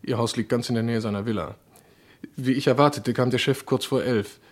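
A gentleman doctor played by a male actor in a radio crime play. He has a medium-pitched voice and sounds courteous but guarded, evasive and on the defensive. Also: fairly even intonation, a little fast, natural voice. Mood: confiding, guarded. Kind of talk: German radio crime drama acting.

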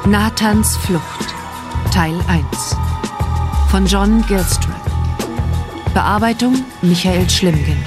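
A woman's voice: mid-range voice, very slow, pauses often, over music. A woman announcer reading scripted credits for a radio drama.

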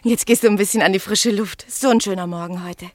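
An actress playing a caring, practical, chatty partner in a German radio drama, with a homely, casual way of talking. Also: female voice, medium pitch, fast, no room sound, close to an everyday voice. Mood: soothing, practical.

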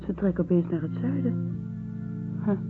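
An actress playing a polite, wonder-struck lady passenger on a ship in a radio drama, speaking in a dreamy, measured way. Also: fairly low pitch, lively intonation, over music, natural voice. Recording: dull lo-fi old radio play recording.

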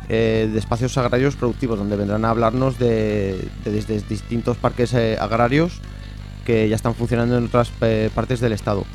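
Guy from spain on a radio interview, medium pitch, lots of ums, faint music behind. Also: darkish tone. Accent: Spanish (Spain). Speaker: interview guest, activist. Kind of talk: spontaneous interview talk.

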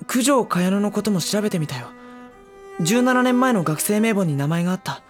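A timid teenage schoolboy voiced by a woman talks mildly and a little hesitantly. His voice sits mid pitch but moves a lot, with faint music behind him in this drama CD. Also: expressive, normal speed, lightly acted. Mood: thoughtful. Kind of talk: anime drama CD acting.

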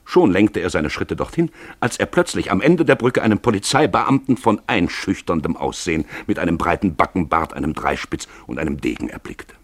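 A man's voice: sits low, expressive, brisk, hardly any pauses, no echo. A man narrating a literary tale for a radio play in a dramatic storytelling style.

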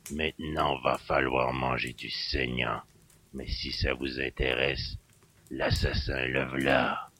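Surly old innkeeper, extremely low, harsh forceful edge, rustic, menacing, audiobook villain. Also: male voice, level tone, slow pace, heavily acted. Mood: menacing, muttering. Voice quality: gravelly, rasping. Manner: menacing, rustic clipped speech. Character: hateful, surly old male country innkeeper. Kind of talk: dramatised audiobook acting.

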